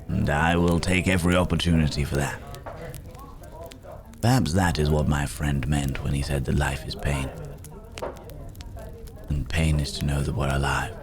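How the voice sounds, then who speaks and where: Man playing a very deep-voiced, musing werewolf wanderer. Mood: warm, playful, then reflective, tender. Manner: musing, hesitant, speaking in unhurried broken phrases. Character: weary, philosophical male werewolf, a hunted wandering companion. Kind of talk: intimate ASMR roleplay acting.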